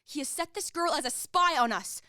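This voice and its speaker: A proud, easily offended young lady of radio drama, played by a woman. Her voice is high, haughty and melodramatic, with cutting sarcasm, in a dry recording. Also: lively intonation, fairly quick, pushed and bright, no room sound. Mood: scornful. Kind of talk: stage-style radio play acting.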